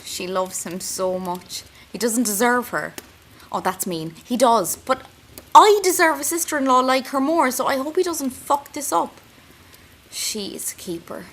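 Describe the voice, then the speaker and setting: A woman's voice: a little high, unhurried, a bit bright. A woman with an Irish accent playing an anxious, wry young intern confiding in her diary in an audio drama. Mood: wistful, wry. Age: young adult. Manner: intimate, wry, self-mocking.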